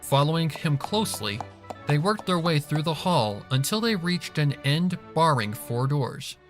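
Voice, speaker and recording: Slightly high-voiced male story narrator. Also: very animated, unhurried. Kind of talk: scripted story narration, fan fiction reading. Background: music.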